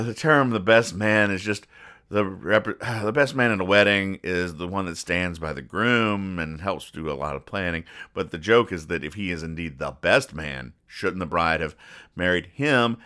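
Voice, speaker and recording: Male podcast host, forceful bright tone, clean resonant voice, casual commentary, rambling, deadpan mock-serious explaining. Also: on the low side. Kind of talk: casual comic-strip commentary, reading out and explaining jokes.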